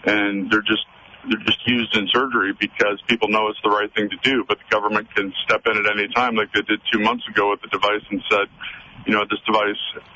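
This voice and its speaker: A man calls a radio show while driving, speaking earnestly and stopping often to hunt for words. His voice sits low and flat, with a bright, forceful edge. The phone line sounds muffled, with a faint hiss of road noise underneath. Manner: earnest, hesitant, many fillers. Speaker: caller. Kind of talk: call-in radio conversation. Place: in a car, driving.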